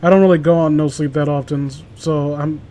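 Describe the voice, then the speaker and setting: A man's voice: a little high, fairly even intonation, brisk, warm tone. A man chatting casually among friends and reading stories aloud, stumbling over words as he reads. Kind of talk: casual group banter and amateur story reading.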